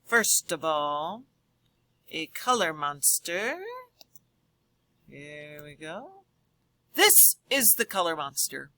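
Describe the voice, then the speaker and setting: A woman's voice: deep voice, very animated, slow, pauses often, sharp tone, no echo. A woman teacher reading aloud and explaining to children, speaking expressively and addressing them directly. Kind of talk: children's read-aloud and lesson.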